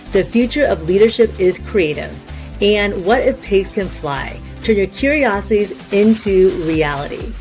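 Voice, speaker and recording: A woman keynote speaker delivering a scripted promo and a taped question in a clear, deliberate way. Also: medium pitch.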